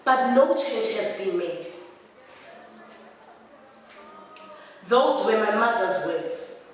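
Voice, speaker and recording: A woman's voice: medium pitch, animated, natural voice. A South African woman performing a declamatory stage monologue as an anguished young daughter protesting to her people. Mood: impassioned, defiant. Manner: declamatory, deliberate, repeating phrases with rising emphasis. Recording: very echoey hall, distant mic, faint music.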